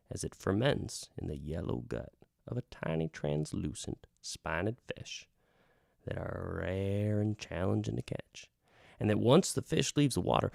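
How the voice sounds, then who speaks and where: A man storytelling in the persona of a travelling bard, theatrical and wry, dropping toward hushed tones and swelling for emphasis. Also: low voice, gravelly, dry recording, studio quality. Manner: theatrical, hushing to near whispers and swelling for emphasis; wry, conversational asides to the listener. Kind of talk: dramatic folk-tale storytelling, part radio drama.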